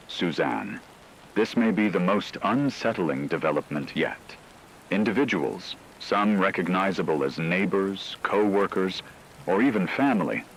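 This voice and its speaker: A man playing a solemn radio news anchor, delivering a scripted emergency broadcast in a measured, ominous way. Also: deep voice, vocal fry, a little hiss or hum, full character voice, low-quality recording. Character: grave male radio news anchor reporting an apocalypse.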